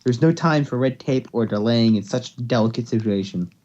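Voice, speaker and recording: A man's voice: mid-range voice, brisk, mellow. Man narrating a story, slightly dull recording. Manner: stumbles over words while reading. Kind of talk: amateur fan fiction narration.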